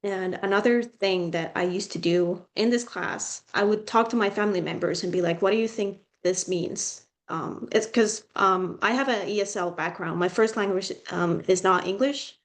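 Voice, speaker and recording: A woman's voice: medium pitch, moderate pace, hardly any pauses. A young woman whose first language is Finnish, a student tutor chatting casually with advice, full of "like" and ums. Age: young adult.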